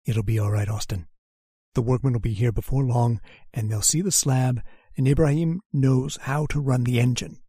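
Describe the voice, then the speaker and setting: An actor playing a brave, reassuring explorer in a horror radio drama, speaking quietly and steadily with some hesitation. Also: male voice, medium pitch, steady tone, normal speed, slightly rough, dry recording, studio quality, close to an everyday voice. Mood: calm reassurance.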